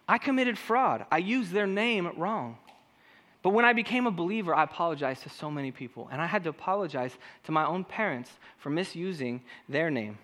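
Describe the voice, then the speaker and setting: A man with a high voice preaching a sermon, talking straight to the congregation and asking them one question after another. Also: pushed and bright. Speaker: pastor.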